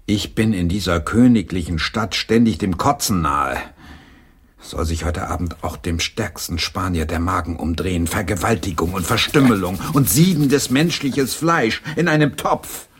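An irritable middle-aged English playwright, tired of everything. The voice is fairly deep and rough around the edges. He grumbles with sarcasm in classic radio play style. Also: normal speed. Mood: weary, sardonic. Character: weary, irritable English playwright of about forty. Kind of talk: German radio play acting.

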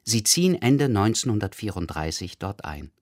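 A man reading a scripted documentary introduction in a factual, measured way. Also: lowish voice, very animated, darkish tone, no echo, studio quality. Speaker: narrator.

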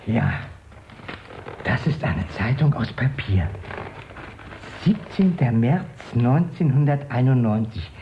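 Radio drama companion: sober but anxious, very animated pitch, dull recording. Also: male voice, takes it slowly, long pauses. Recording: muffled radio drama, faint noise.

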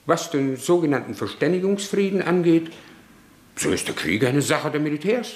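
A man's voice: a little high, very animated, normal speed. An actor playing an old male chancellor who talks down to a friend in a radio drama. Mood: condescending. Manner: condescending, dismissive. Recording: roomy echo.

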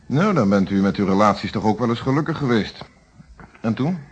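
An actor with a Netherlands Dutch accent playing a stern but patient police inspector in a radio play, probing and matter-of-fact. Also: male voice, on the low side, expressive, fairly quick, husky. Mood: probing, measured. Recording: dull, band-limited old radio play.

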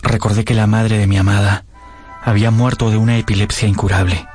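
A man's voice: fairly low pitch, little pitch movement, a little fast, over music, close to an everyday voice. An actor playing a tender, melancholy young man in love, narrating in a radio drama.

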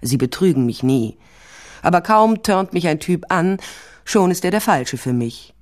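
A woman's voice: lowish voice, animated, unhurried. A woman playing a tall, sardonic private detective in a crime radio drama, speaking and narrating in a dry, self-possessed way. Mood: wry, confiding. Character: tall sardonic female private detective, former police officer. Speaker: lead actor and first-person narrator.

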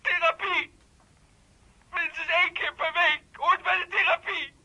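A man's voice: very high voice, fairly even intonation, a little slow, sharp tone, heavily acted. An actor playing a volatile, jealous young man in a radio play, agitated and stammering. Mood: agitated, bitter. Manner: agitated, stammering, repeating himself. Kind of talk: naturalistic radio play acting.

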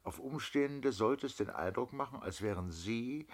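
Guy acting methodical lawyer questioning witnesses in old german radio play, pointed pauses, voice moves up and down a lot. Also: mid-range voice, lively intonation, close to an everyday voice. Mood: probing.

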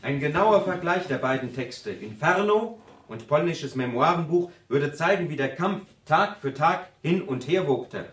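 Zealous student, roomy sound. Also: male voice, a little high, lively intonation, measured pace, fairly bright tone. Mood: insistent. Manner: lecturing, emphatic, with theatrical build-ups. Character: Bill, zealous, argumentative male university student presenting literary theory. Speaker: seminar student. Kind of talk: radio drama seminar presentation. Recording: roomy, slightly distant studio acoustic.

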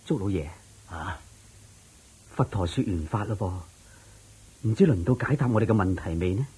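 Husky, humble petitioner. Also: male voice, on the low side, lively intonation, normal speed, dark tone. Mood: impatient, unsure. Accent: Hong Kong Cantonese. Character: humble, anxious male petitioner seeking Buddha's counsel. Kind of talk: Cantonese radio drama acting.

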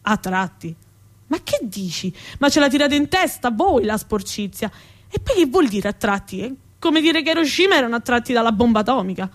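A woman's voice: on the high side, very animated, brisk. A woman performing a comic theatrical monologue as an indignant, sarcastic, foul-mouthed female rat who sees herself as a proper lady. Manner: ranting, sarcastic, emphatic.

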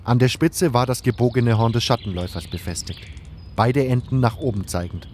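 Erzähler mit Vogelgezwitscher im Hintergrund. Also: male voice, medium pitch, fairly quick, a little mellow, a little hiss or hum. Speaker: narrator and hero of fan audio drama. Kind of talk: scripted first-person story narration.

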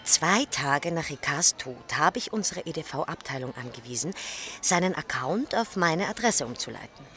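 An actress playing a composed, sharp-witted woman investigator in a radio crime drama, conversational and matter-of-fact. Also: low voice, expressive, normal speed, faint music behind. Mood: serious, explanatory. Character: composed, sharp-witted female colleague investigating restaurant fires.

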